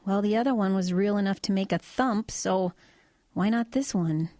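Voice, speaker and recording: Woman playing a mellow, understated truck driver storyteller. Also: medium pitch, moderate pace, no echo, studio quality, natural voice. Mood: quiet, wistful. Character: woman truck driver recounting eerie roadside visions, matter-of-fact. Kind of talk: audio drama acting, conversational storytelling.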